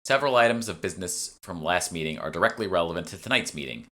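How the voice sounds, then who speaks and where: Officious male guild secretary read by a male narrator, measured, low and forceful, fantasy audiobook. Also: expressive. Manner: officious, measured, formal wording. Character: formal, procedural male guild secretary running a meeting. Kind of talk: audiobook character dialogue.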